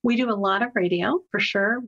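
A woman's voice: mid-range voice, brisk, a bit bright, lo-fi sound. A woman speaking conversationally as an executive guest in an interview.

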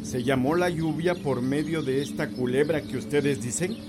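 An actor playing a calm, kindly village priest in a radio drama, gentle and reassuring. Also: male voice, fairly high pitch, normal speed, heavy background noise, natural voice.

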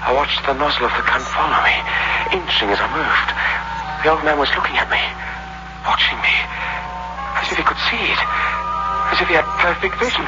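An actor playing a desperate young ex-convict in a 1960s radio suspense drama, with a menacing, tense delivery. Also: male voice, a little high, animated, fairly quick, loud music behind the voice, natural voice. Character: desperate young male ex-convict robber, narrating his crime. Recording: muffled, very noisy old radio recording.

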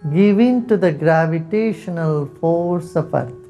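Slow, mellow meditation guide, a man. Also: on the high side. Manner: slow, soft, calm instructions with long pauses. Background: soft instrumental music.

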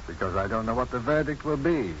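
A man's voice: medium pitch, lively intonation, fast, a bit bright, natural voice. Proud maverick physician played by a male actor, formal and sardonic, 1950s radio play, dull hissy recording. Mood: defiant. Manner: formal, precise, dryly sardonic. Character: proud unconventional male doctor, coolly defiant and dryly witty. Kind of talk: 1950s radio drama acting.

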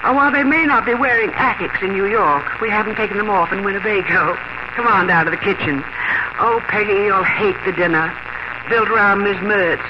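A woman's voice: mid-range voice, fairly quick, very noisy, close to an everyday voice. An actress playing a sentimental, chatty middle-aged mother who is cleaning out her attic, in a 1950 radio drama. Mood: wry. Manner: chatty and sentimental, talking to herself. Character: fond, fussy small-town mother cleaning the attic. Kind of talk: 1950s radio drama acting. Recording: dull lo-fi 1950 radio broadcast.

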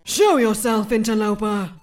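A woman voicing a pompous, quick-to-rage male supervillain who delivers grand, drawn-out threats. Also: darkish tone, soft background music, close to an everyday voice. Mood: menacing. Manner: booming, drawn-out theatrical threats.

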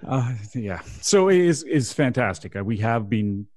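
A man's voice: medium pitch, big pitch swings, unhurried, darkish tone. A Canadian man hosting a radio show, chatting casually and laughing often. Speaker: podcast host.

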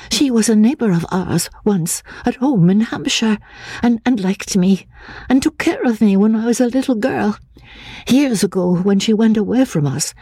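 A woman reader performs a fragile young woman from a classic audiobook, anxious and easily confused. The voice is high, soft and breathy, with hesitant repeats and small stammers, recorded very dry. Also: dry recording. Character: timid, confused young woman, asylum escapee, childlike and anxious. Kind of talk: dramatic audiobook reading of classic literature.

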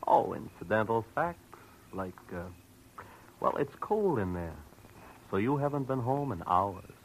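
Casting: radio-noir collection heavy, lowish voice, oily politeness, taunting threats. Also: male voice, sing-song, a little hiss or hum, poor audio. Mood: sly, mock-polite. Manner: taunting, slyly polite, drawn-out insinuation. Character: menacing, mock-polite male debt collector and thug. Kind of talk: 1940s radio detective acting.

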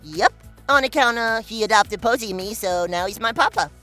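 A woman voicing a silly, naive, excitable childlike sidekick with a goofy, exaggerated manner in a children's audio comedy. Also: mid-range voice, brisk, soft background music, lightly acted. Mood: goofy, casual.

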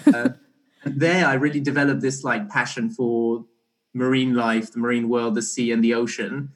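A young man talking as a podcast guest in a relaxed, halting way, often restarting his sentences. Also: mid-range voice. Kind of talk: casual conversational interview.

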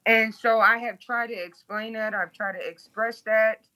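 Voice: mid-range voice, fairly even intonation, moderate pace, sharp tone, rough recording. A guest from Toledo, Ohio, speaking bluntly and candidly in an interview, swearing freely.

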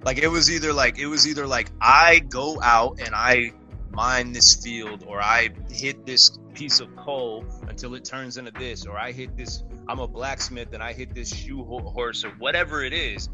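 A man in casual podcast chat, talking in an animated, rambling way and calling his friend "bro". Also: medium pitch, normal speed, over music. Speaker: podcast co-host.

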